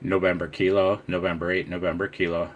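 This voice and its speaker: A man's voice: low-pitched, little pitch movement, a little fast, highs cut off, heavy background noise. A man who is an amateur radio operator and vlogger, talking casually with playful, jokey grumbling. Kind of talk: casual chat and radio contest calls.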